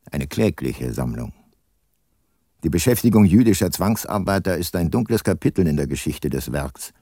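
Low-voiced brooding detective, dry recording. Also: male voice, warm tone, husky, dry recording, exaggerated voice. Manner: measured, probing, reflective. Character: brooding, probing male private detective narrating his case. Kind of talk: German radio crime drama with first-person narration.

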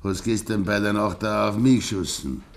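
An actor playing a stubborn, grieving old farmer with a Bavarian accent, deliberate and controlled, in a 1950s dialect radio drama. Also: male voice, fairly low pitch, fairly even intonation, gravelly. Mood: grave, accusing. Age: older.

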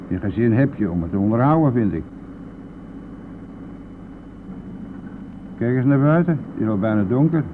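An actor playing a boastful, brash and talkative bus driver in a radio play, with a blustery, colloquial manner. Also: male voice, on the low side, natural voice. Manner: blustery, colloquial, mildly swearing. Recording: narrow phone-like old radio recording, faint noise.

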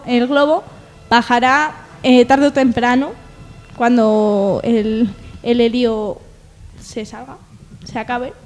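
A woman with an accent from Spain reads joke news on a radio show, a little haltingly, chatting with the panel. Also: sits high. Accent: Spanish from Spain. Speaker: mock news presenter on a comedy radio show. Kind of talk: joking news reading with panel banter. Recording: long reverberant echo.

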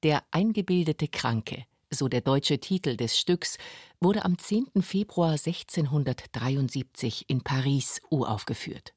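A man narrating a scripted radio documentary in a measured storytelling way. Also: medium pitch, a little mellow, no room sound. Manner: measured, clear storytelling delivery. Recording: dry studio with faint music.

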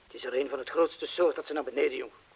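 Een acteur die in een hoorspel een gespannen, vastberaden inbreker speelt, kortaf en dringend, met een hese stem. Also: male voice, mid-range voice, quick pace, husky, lightly acted. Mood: grim, determined. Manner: terse, urgent, hushed at times. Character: tense, determined burglar who knows bombs, loyal to mate. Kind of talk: Dutch-language radio drama acting.

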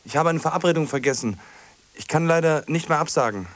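An actor playing an anxious, guilt-ridden man in a radio drama, husky and hesitant, speaking in fragments. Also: fairly high pitch, quick pace, no room sound, natural voice. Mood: apologetic, evasive. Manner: hesitant, fragmented, muttering to himself.

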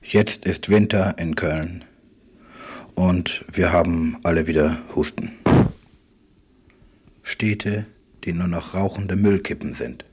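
A man delivering a literary radio monologue in terse, halting fragments. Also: low voice, noisy background. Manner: terse, halting short phrases, sometimes dropping to a whisper.

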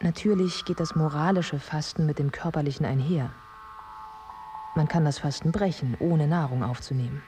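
An actress playing a thoughtful young woman, narrating in a measured first-person radio drama style. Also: sits low, music in the background, close to an everyday voice. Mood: reflective. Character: thoughtful young Baghdad woman recounting wartime life.

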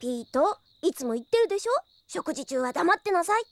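A woman playing a cheeky, excitable, chatty young boy in an audio drama, eager and boyish. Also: extremely high pitch, fairly quick, creaky, no echo, heavily acted. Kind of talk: Japanese audio drama acting.

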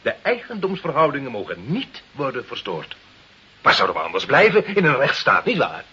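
An actor in a Dutch radio play playing a wily village crime boss, mock-humble and slyly defiant with authority. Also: male voice, medium pitch, wildly swooping pitch, normal speed, pushed and bright. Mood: sly, amused. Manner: deferential on the surface, slyly defiant. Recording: muffled, hissy old radio recording.

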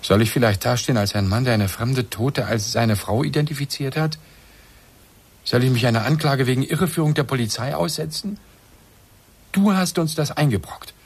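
An actor playing a bitter, reproachful middle-aged husband in a German radio play, agitated and accusing. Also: male voice, mid-range voice, normal speed, slightly rough. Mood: bitter, defiant.

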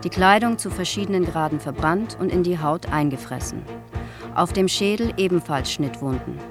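An actress playing an impersonal narrator who reads official files in a radio drama, formal, measured and matter-of-fact. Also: female voice, lowish voice, music in the background, close to an everyday voice. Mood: clinical.

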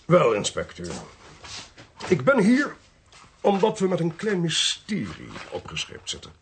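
A man acting a suave, talkative official in a radio drama, politely sly and self-possessed, with a husky, gravelly voice and urbane, theatrical delivery. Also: on the high side, huge pitch swings, measured pace, fairly bright tone, slight background noise, heavily acted. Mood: wry, urbane.

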